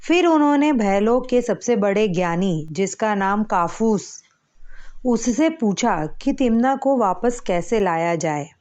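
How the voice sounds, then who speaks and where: A woman's voice: medium pitch, expressive, slow pace, a little mellow, lo-fi sound. A woman narrating a scripted children's story as a storyteller.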